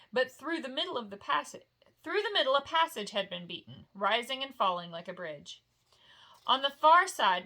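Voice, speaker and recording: Female storyteller: bright voice, expressive fantasy narration, homely and informal, like a bedtime read-aloud. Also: medium pitch. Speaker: storyteller reading a novel aloud.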